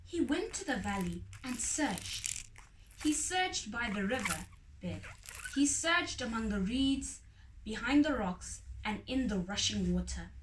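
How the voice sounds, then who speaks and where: A woman reads a children's story aloud with dramatic emphasis. Her fairly high voice rises and falls widely. She sounds a little way from the microphone, in a roomy, echoing space. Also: big pitch swings, unhurried. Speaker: storybook reader. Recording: roomy echo, distant mic, faint noise.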